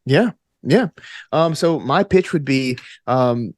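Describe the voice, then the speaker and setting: A man's voice: mid-range voice, animated, slow, no echo. Earnest man with a dry close sound. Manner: earnest, thinking aloud, frequent "like" and "you know". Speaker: podcast guest, actor and tabletop gamer. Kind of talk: reflective conversational interview answers.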